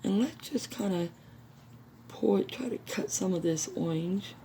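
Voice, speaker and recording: A woman with a hoarse, recovering voice hosting a craft how-to video, chatting casually with viewers. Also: medium pitch, lively intonation, unhurried, dark tone, a little hiss or hum. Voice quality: gravelly. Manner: chatty, addresses viewers as you guys.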